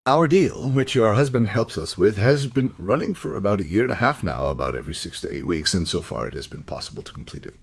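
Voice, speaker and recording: A shady crime-drama dealer, speaks low with big swings in pitch. His voice is husky, and he sounds evasive and condescending, with menace under the surface. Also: sing-song, fast, hardly any pauses, full character voice. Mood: sly, menacing. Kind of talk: crime radio drama acting.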